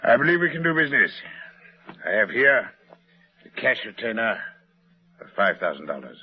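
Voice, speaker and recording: Mysterious Martian gentleman, formal, precise, swooping intonation, muffled vintage radio recording. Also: medium pitch, very animated, slightly rough, no echo. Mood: businesslike. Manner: formal, precise, measured wording. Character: mysterious, courteous man claiming to be a Martian client. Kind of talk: 1950s radio drama acting.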